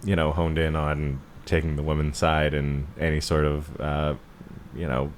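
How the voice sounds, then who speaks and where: A man's voice: extremely low pitch, monotone, moderate pace, a little hiss or hum. A man co-hosting a podcast, chatting casually about books in a rambling, joking way. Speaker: podcast co-host. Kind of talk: casual book chat with a friend.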